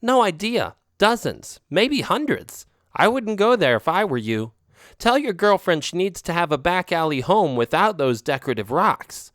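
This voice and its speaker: Man playing a street-smart tomcat, kinda high voice, matter-of-fact warnings. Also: big pitch swings, moderate pace, a bit bright, dry recording, studio quality. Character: knowing male alley cat, a warning friend.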